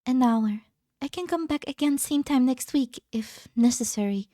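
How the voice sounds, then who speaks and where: High, gentle, earnest young-sounding lady scientist played by a woman. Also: moderate pace, no room sound, studio quality, lightly acted. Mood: polite. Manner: soft-spoken, earnest, formal. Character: gentle, elegant, idealistic woman scientist, serenely self-righteous. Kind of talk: scripted anime-game fan dub acting.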